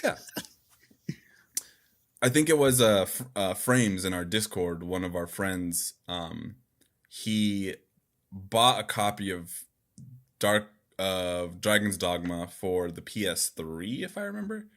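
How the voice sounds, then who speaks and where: A man's voice: sits low, expressive, takes it slowly, a bit bright. A man co-hosting a podcast in loose, rambling, informal chat, with some swearing. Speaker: podcast co-host. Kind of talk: casual gaming podcast chat.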